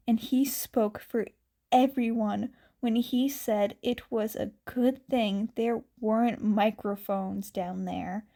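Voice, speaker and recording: A woman reads a fan fiction story aloud slowly, with quiet, calm narration. Her voice is fairly high and her tone stays mellow and warm. She takes her time over each phrase. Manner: slow, quiet, calm reading. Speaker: podfic reader.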